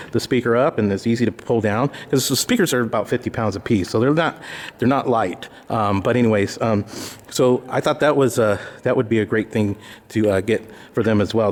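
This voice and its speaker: A man giving a casual, unscripted gear walkthrough in a halting, conversational way, often correcting himself. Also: mid-range voice, big-room sound. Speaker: presenter demonstrating audio gear.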